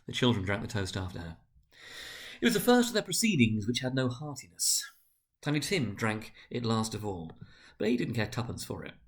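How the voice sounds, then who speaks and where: A man narrating a story as a dramatic reading, theatrical and expressive. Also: medium pitch, brisk.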